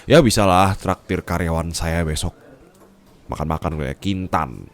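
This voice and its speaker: A man's voice: low voice, a little slow. A man playing a curt, sarcastic young office boss in an ASMR roleplay drama. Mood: irritated, sarcastic. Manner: haughty, scolding, insulting.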